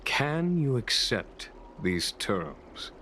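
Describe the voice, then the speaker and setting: A man voicing a calm, courteous, calculating schemer in a fantasy video game, measured and deliberate, with noisy ambience behind the voice. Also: mid-range voice, exaggerated voice. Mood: measured, challenging. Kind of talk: video game dialogue acting.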